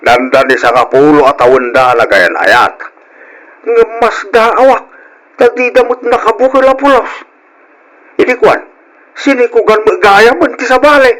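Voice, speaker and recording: A man's voice: sits high, animated, normal speed, pauses often, fairly bright tone, poor audio. A man playing an older husband in old-style radio drama, grumbling and full of questions. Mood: probing. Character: grumbling older Ilocano husband wanting a grandchild.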